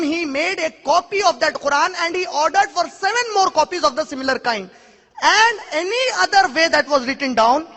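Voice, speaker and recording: A very high-pitched man with a bright, forceful tone, whose first language is Urdu, makes an emphatic argument before an audience. He pushes his points with pointed rhetorical questions and challenges. His voice rings with long reverb from a large hall. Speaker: speaker answering an audience question. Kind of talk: public question-and-answer lecture.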